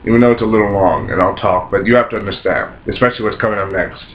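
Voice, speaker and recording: A man with a raspy voice and a sore throat, rambling through a casual episode review, repeating himself and restarting sentences. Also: fairly low pitch, rapid-fire, slight background noise. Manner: rambling, repeats himself, many false starts. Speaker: anime reviewer. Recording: roomy, dull lo-fi home recording.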